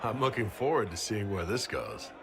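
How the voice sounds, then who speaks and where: A man acting a composed, dry-witted leader of a holy military order in a video game, measured in manner. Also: on the low side, very animated, vocal fry, a crowd in the background.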